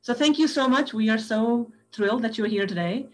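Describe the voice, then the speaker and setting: A woman's voice: fairly high pitch, brisk, slight background noise. A woman hosting a webinar on a video call, effusive, often adding "right?". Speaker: webinar host. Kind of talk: hosting remarks.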